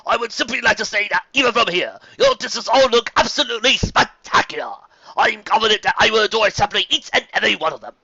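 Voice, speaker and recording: A gracious, suave aristocratic stallion from a storybook, played by a man. The tone is bright and lifted, with formal, effusive courtesy. The recording sounds a little dull. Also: high-pitched, fast talker, gravelly, breathy edge, no room sound, voice pushed up, exaggerated voice. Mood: gracious, pleased. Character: suave, gracious upper-class stallion, polished nobleman.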